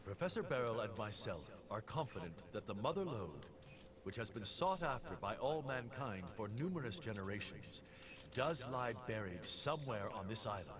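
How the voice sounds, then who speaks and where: An actor playing a determined older explorer in a video game, speaking formally and showily like a practised orator. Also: male voice, fairly low pitch, big pitch swings, normal speed, a crowd in the background. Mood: grand, formal. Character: determined, grandiose older explorer addressing the press. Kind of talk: video game cutscene acting, formal speech. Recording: noisy game audio from a stream.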